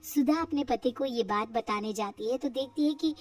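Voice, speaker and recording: A woman narrates a scripted family story in a very high voice, with music playing behind her. She tells it as a moral tale for listeners. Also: normal speed, far from the mic.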